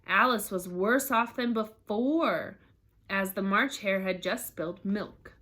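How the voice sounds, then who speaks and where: A woman reading a children's story aloud in expressive scripted narration. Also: medium pitch, slow pace.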